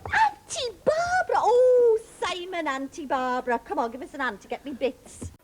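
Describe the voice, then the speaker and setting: A woman's voice: very high voice, expressive, normal speed, background noise. A woman acting a bubbly, easily thrilled, gushing host in a children's show. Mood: excited, gushing. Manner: exclamatory, gushing.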